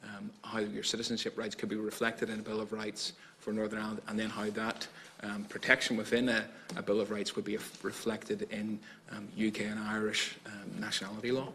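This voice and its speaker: Flat, fast-talking male witness. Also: on the low side, slight background noise. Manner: steady, formal policy statement. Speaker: panel witness. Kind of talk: committee testimony.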